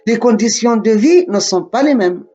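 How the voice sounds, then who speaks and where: A man from Morocco giving a slow, deliberate spoken lesson, often repeating and correcting himself. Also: high voice, expressive, dark tone, muffled recording, faint music behind.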